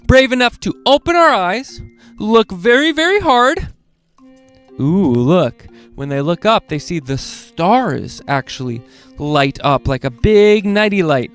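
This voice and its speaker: A man hosting a children's read-along, reading picture books aloud in an animated way and speaking directly to young listeners. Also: sits high, smooth.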